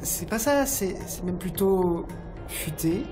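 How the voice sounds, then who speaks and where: A woman's voice: on the low side, expressive, slow pace, over music. A woman playing a skeptical, sarcastic male rebel in an audio drama, casual and snide. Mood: grudging.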